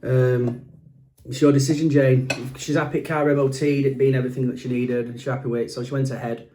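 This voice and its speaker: A man's voice: medium pitch, little pitch movement, brisk, mellow, slight background noise. A middle-aged man from Doncaster with a Yorkshire accent, co-hosting a podcast and telling stories in an easygoing, agreeable way. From Doncaster, England. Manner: easygoing, agreeable, laughs often. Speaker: podcast co-host. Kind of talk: casual chat and storytelling.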